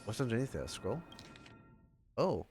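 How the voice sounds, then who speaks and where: Middle-pitched man streaming a game casually. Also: big pitch swings, normal speed, darkish tone. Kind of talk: casual livestream reaction, reading game text aloud. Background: game music.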